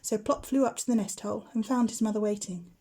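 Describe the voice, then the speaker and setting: A woman's voice: mid-range voice, quick pace, dark tone, slight background noise. A woman narrating a children's bedtime story as a teacher would.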